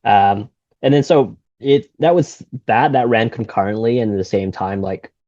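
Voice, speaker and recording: Low-voiced man with an American accent. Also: normal speed, dry recording, dull audio. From Singapore. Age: 30s. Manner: relaxed, rambling, frequent fillers and restarts. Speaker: guest, golf shop owner. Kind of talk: casual interview chat.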